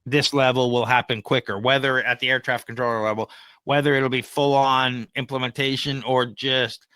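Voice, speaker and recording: A man's voice: mid-range voice, flat delivery, normal speed, bright, forceful tone, smooth, no room sound. A man co-hosting a podcast, giving opinions in casual conversation and explaining them with everyday examples. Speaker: podcast co-host and AI consultant.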